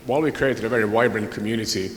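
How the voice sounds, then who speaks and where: A man's voice: lowish voice, rapid-fire. Man from Finland speaking in a roomy hall. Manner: reflective, with frequent restarts. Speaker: panelist. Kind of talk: conference panel talk. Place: large conference hall. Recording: roomy hall with background noise.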